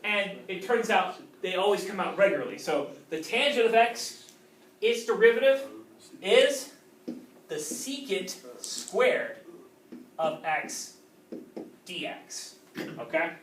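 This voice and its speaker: A man's voice: high-pitched, animated, takes it slowly, long pauses, a bit bright, a little hiss or hum. A male math teacher lecturing a class, often checking in with "okay?". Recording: roomy classroom audio from a distant camera.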